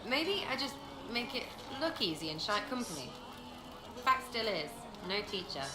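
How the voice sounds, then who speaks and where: Brash, cheeky young elf woman, animated. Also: fairly high pitch, normal speed, echoey room, background noise. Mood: defensive, cheeky. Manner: slangy, cheeky, blunt. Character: brash young elven female archer, scrappy and irreverent.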